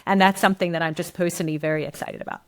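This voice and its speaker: A woman's voice: lowish voice, fairly even intonation, very fast. A middle-aged woman with a South African accent, a guest on a podcast interview, explaining things at length in a conversational way. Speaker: guest, marketing director.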